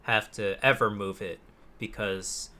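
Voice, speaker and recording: A man's voice: lowish voice, steady tone, a little slow, sharp tone. A man co-hosting a casual podcast, talking in a rambling, jokey way into a close desk microphone. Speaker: podcast co-host. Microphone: close USB desk microphone on an arm.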